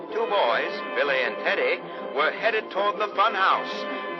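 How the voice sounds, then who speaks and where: Theatrical 1950s radio announcer, highish voice, animated, mysterious, lo-fi sound, music behind. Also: male voice. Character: melodramatic old-time radio serial announcer, grandly mysterious. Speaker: narrator and announcer. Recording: dull vintage radio recording over loud music.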